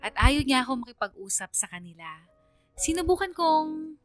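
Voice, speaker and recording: A woman's voice: high-pitched, big pitch swings, normal speed. A woman playing a young student who narrates a personal story in a first-person radio drama. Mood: hurt, puzzled. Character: young female student recounting a lesson from friendship and love. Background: instrumental music.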